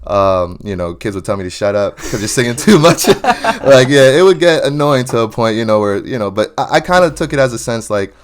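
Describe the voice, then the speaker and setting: A young man, a singer, chatting casually in an interview, relaxed and full of "you know" fillers, laughing often. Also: mid-range voice, brisk, few pauses. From New Jersey or New York area. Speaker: guest singer.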